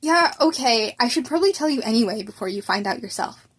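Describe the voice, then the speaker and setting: A cheeky teenage schoolboy, voiced by a woman with a high, lifted voice. He is breezy and nonchalant about his superpower, quick to protest and excitable, as in an audio storybook. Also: breathy edge. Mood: awkward, candid.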